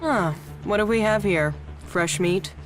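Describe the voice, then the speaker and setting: A woman's voice: mid-range voice, a little slow, music in the background, close to an everyday voice, low-quality recording. A woman playing a shrewd, jaded smuggler in a video game, speaking in a sardonic, sizing-you-up way. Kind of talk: video game dialogue acting.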